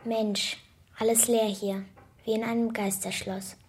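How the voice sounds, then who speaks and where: A woman's voice: medium pitch, little pitch movement, measured pace, a little mellow, slightly rough. A woman playing a curious, impatient young girl in a children's radio drama, colloquial and sassy.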